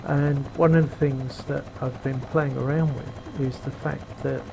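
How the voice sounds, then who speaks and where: Guy with a super deep gravelly voice on a cheap headset, helicopter engine roaring behind. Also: fairly even intonation. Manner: unhurried, explains as he flies, frequent fillers. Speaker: flight sim video maker. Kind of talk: casual flight sim commentary. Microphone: cheap gaming headset microphone. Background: helicopter sim engine and rotor noise.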